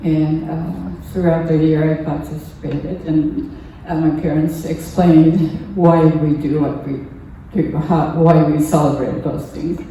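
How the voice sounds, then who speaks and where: An older woman from Japan, a native Japanese speaker with a Japanese accent, gives a halting, earnest personal talk as a lay speaker at a temple service. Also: low-pitched, steady tone, dark tone. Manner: halting, earnest, pausing often mid-phrase. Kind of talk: personal testimony talk to a congregation. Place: temple hall during a service. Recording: echoey room, distant mic, faint noise.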